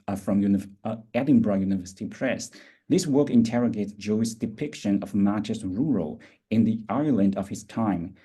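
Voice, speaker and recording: A man's voice: fairly low pitch, warm tone, slightly rough. A man reading formal academic introductions of speakers and their work as an editor at a roundtable.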